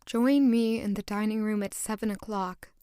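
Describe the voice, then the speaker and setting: A woman's voice: mid-range voice, steady tone, normal speed, warm tone, smooth, dry recording, studio quality, lightly acted. A woman playing a tender, hopeful young woman in an audio drama, speaking earnestly and wistfully. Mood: pensive, curious. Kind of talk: audio drama narration and dialogue.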